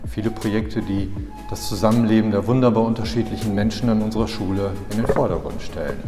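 A man teacher with a lowish voice speaks earnestly and with emphasis. The school corridor around him is roomy and echoing. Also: fast, music in the background. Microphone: handheld furry mic.

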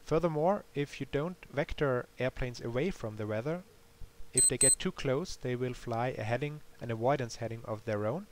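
Man narrating a tutorial over clear hiss. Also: medium pitch, normal speed. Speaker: developer demonstrating a simulator game.

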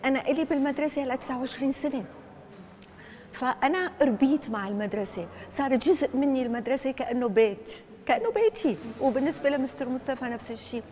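A woman in her fifties, a longtime school staff member, telling a story in an interview with vivid, animated delivery, recorded indoors on a lapel mic. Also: high voice, racing. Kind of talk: interview recollection.

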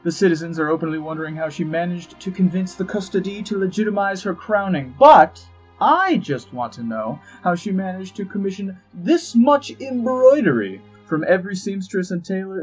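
A man playing a husky, pompous, scheming ambassador. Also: sits high, expressive, normal speed, poor audio. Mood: wry, indignant. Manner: pompous, droll, precise, theatrical. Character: urbane, wry, scheming elven-realm ambassador and spymaster. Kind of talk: dramatised dossier narration in a fantasy audio drama. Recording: music behind the voice.

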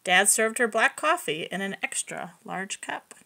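A woman reading a picture book aloud to young children, expressive and explaining things simply. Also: mid-range voice, measured pace, vocal fry. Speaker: preschool story reader.

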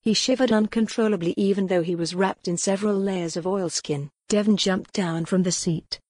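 A woman's voice: medium pitch, steady tone, moderate pace, darkish tone, no echo. A woman narrating a historical novel as scripted dramatic audiobook fiction.

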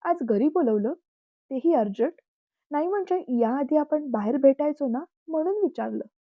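A woman's voice: high-pitched, lively intonation, normal speed, no room sound, pitched above the performer's own voice. Woman voicing confused young girlfriend, light questioning voice, dry dull audio. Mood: puzzled.

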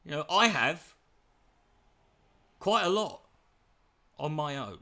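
A man's voice: on the high side, takes it slowly. Sarcastic Irish man mocking a video. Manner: mocking, swearing, animated. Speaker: commentator reacting to a video. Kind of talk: profane sarcastic reaction commentary.

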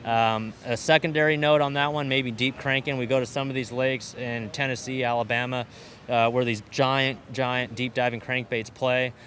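Angler explaining rod choices. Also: male voice, mid-range voice, steady tone, moderate pace, a bit bright. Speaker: professional angler. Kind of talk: interview answers.

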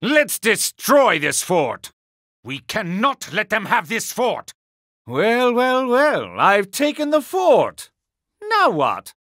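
A man's voice: high-pitched, huge pitch swings, slow, fairly bright tone, no room sound, studio quality, full character voice. A male actor voicing a sneering, theatrical villainous tactician in video game battle lines, haughty and mocking, with a drawling delivery. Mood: smug.

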